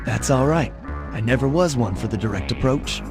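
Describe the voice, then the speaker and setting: A man's voice: medium pitch, expressive, moderate pace, loud music behind the voice, close to an everyday voice. A man voicing a suave, easygoing young raccoon thief in a video game, wry and confident. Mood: cool, wry. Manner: laid-back, wry, confident. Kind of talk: video game cutscene voice acting.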